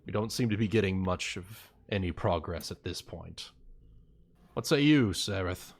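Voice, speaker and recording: A man playing in an online tabletop roleplay session, talking in a measured, deliberate way. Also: sits low, lively intonation, creaky. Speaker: tabletop game player.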